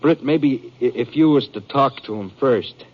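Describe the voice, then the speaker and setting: A man acting a frightened, aggrieved American rancher on the run in a 1950s radio western, speaking in a rustic, earnest, halting way. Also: medium pitch, natural voice. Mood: anxious, pleading. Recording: muffled 1950s radio recording.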